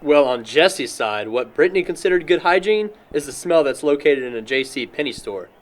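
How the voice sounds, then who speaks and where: A man's voice: on the high side, expressive, moderate pace, natural voice. A man playing an easygoing young party host who jokes and gossips in an amateur audio drama. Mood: gossiping.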